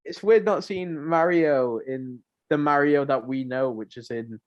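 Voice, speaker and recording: A man's voice: a little high, unhurried, highs cut off. A man co-hosting a podcast, chatting casually and informally, with opinions of his own. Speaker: podcast co-host. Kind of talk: casual podcast chat about films and games.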